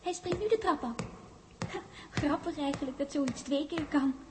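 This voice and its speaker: An actress playing a gentle, eerie young woman in a 1960s Dutch radio play, hushed and dreamy, often slipping into a whisper. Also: high voice, moderate pace, very noisy, natural voice. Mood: wistful. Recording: 1961 radio recording, music behind.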